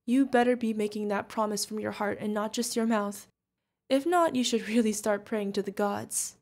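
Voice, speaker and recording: A woman's voice: medium pitch, normal speed, a little mellow, close to an everyday voice. A woman playing a protective older witch mentor, fond, teasing and stern, in an ASMR fantasy roleplay. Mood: stern, earnest. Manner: affectionate teasing, mock-exasperated. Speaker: voice actor.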